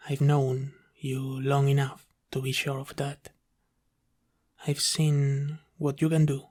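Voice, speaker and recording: A gentle male sleep paralysis demon, played by a man, speaks softly in a soothing ASMR roleplay. His mid-pitched voice stays even and moves very slowly, with pauses. He is tender and reassuring, like a caretaker easing someone to sleep. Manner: soft-spoken, reassuring, unhurried with pauses.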